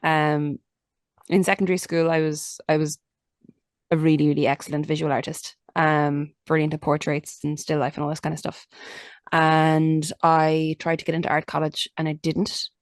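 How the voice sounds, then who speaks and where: Irish woman, kinda low voice, chatty and candid, lots of like and um, dry close sound. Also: flat delivery, moderate pace, no echo. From Ireland. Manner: chatty and candid, many restarts and "like" fillers. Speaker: interview guest, musician. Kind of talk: casual conversational interview.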